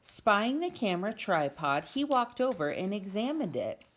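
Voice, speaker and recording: Very animated woman reading a storybook. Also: mid-range voice, normal speed. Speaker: parent reading aloud to family. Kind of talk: expressive storybook read-aloud. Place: at home.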